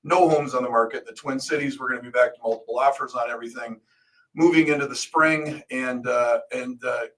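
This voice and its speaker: A man's voice: mid-range voice, fairly even intonation, brisk, fairly bright tone, distant mic, low-quality recording. A middle-aged American man co-hosting a talk show, explaining things casually, often posing questions and answering them himself. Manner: explains with hypothetical examples and self-answered questions. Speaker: radio show co-host.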